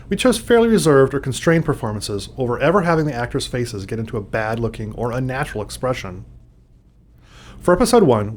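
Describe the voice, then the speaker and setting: Lively, quick-talking man. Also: mid-range voice. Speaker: game developer giving commentary. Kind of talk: scripted technical commentary.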